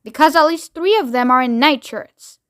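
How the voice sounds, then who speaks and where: A woman's voice: high-pitched, moderate pace, fairly bright tone, no echo, studio quality. A woman voicing a flustered, cheeky male astronaut in a children's audiobook, blurting things out with emphasis. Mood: alarmed.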